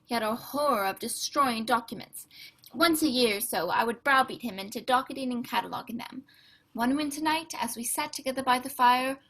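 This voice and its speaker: A woman's voice: a little high, a little fast, a bit bright, low-quality recording. A woman playing a fussy, tidy Victorian male doctor who narrates and scolds his untidy friend in a radio play. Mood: genial storytelling. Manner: prim and fussy, exasperated scolding. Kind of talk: amateur radio play acting.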